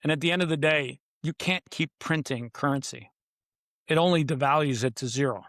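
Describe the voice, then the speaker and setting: Emphatic man, slightly high-pitched, dry sound. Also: brisk, fairly bright tone, dry recording. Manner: emphatic, punchy short declarations with dramatic repetition. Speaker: podcast guest, author. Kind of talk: conversational interview.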